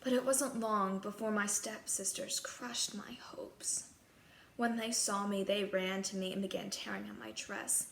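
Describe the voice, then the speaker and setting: Bright-voiced woman storyteller in an echoey room. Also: mid-range voice, little pitch movement, measured pace. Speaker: storyteller in character as a fairy-tale princess. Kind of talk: scripted first-person children's story narration. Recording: echoey room, clear background noise.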